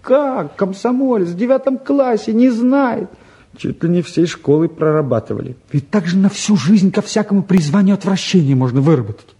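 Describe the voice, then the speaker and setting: An actor playing a bored, restless eighteen-year-old younger son, grumbling and flippant in a naturalistic drama. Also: male voice, sits high, expressive, normal speed, dark tone. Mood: sardonic, frank. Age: young adult. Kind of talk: naturalistic stage and radio drama acting.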